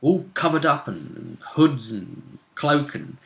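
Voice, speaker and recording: A man with a bright, forceful voice recaps a tabletop role-playing game as host. His pitch moves around a lot, giving an animated, conversational delivery. He talks casually and throws out questions as he explains. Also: slow, gravelly.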